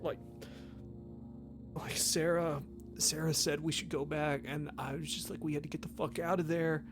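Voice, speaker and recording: With faint music behind, a man acts out a guilt-ridden young man in a tabletop game. He speaks in halting, slangy bursts full of swearing, stammering as if under questioning. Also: fairly high pitch, steady tone, voice pushed up, natural voice. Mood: shaken.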